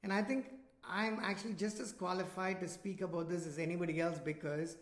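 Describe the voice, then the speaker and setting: A man's voice: sits high, steady tone, fairly quick. A man with an Indian accent hosting a podcast interview, talking in a loose, conversational way. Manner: conversational, loose, self-deprecating asides. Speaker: podcast host. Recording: roomy echo.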